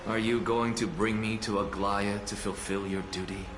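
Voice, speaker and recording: A man's voice: lowish voice, steady tone, moderate pace, echoey room, over music, lightly acted. A man voicing a haughty scholar professor in a video game, dry, self-assured and dismissive. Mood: aloof. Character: haughty scholar professor Anaxa, aloof and sardonic.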